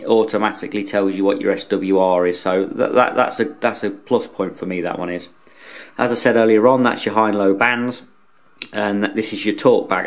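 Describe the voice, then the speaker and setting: Male product reviewer, lowish voice, informal and unscripted, plain-spoken, feels his way through sentences. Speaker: radio hobbyist reviewer.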